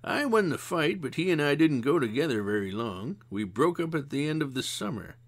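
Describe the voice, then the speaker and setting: A man voicing a calm, shrewd older woman who talks in a dry, knowing, gently scolding way. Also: a little high, a little fast, voice pushed up. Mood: wry.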